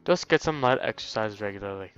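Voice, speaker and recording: A man's voice: fairly low pitch, lively intonation, fast, fairly bright tone, clear, resonant tone, lo-fi sound, faint music behind. A man voicing a friendly, chatty male school nurse who gives advice in a visual novel. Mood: friendly, cautioning. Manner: friendly, advising. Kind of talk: visual novel dialogue read aloud.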